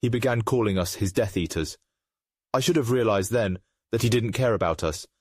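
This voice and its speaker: A man playing a grave, remorseful aristocratic father in a comic dub, speaking in a formal, measured way. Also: lowish voice, slightly rough, no room sound, studio quality. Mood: solemn, remorseful.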